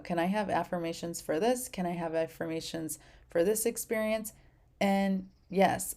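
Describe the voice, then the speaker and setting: A woman hosting her own podcast, talking casually and encouragingly to listeners and reciting affirmations. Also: lowish voice. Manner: gentle, encouraging, speaks in affirmations. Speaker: podcast host. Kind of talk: casual solo podcast talk.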